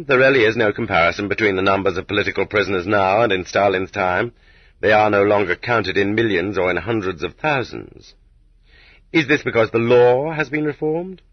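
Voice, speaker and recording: A man narrating an audiobook in a measured, dramatic way with rhetorical emphasis, on a dull cassette recording. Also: fairly low pitch, animated, slightly rough, no echo.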